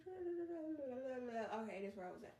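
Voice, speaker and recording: A woman hosting a read-aloud, moving between narration and casual, expressive, chatty asides. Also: sits high, measured pace. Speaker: host reading a book aloud on a live stream. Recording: roomy echo, distant microphone.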